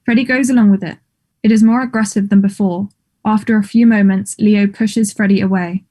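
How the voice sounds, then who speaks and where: Mellow woman reading stage directions. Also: mid-range voice, steady tone, brisk. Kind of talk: scripted narration at a play table read.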